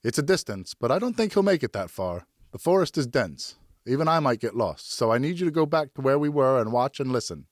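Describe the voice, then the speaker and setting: A steady, seasoned hunting father, acted by a male narrator in an audiobook. He is encouraging and instructive, his pitch lifted above a storyteller's tone. The sound is dry. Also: fairly quick, hardly any pauses, dry recording, lightly acted. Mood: instructing. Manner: reassuring, instructive. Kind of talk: audiobook character acting.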